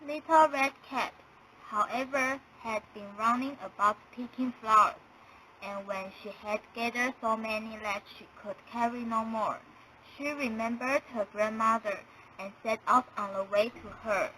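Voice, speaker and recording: A woman's voice: on the high side, a little slow, background noise. A young woman reading scripted storybook narration.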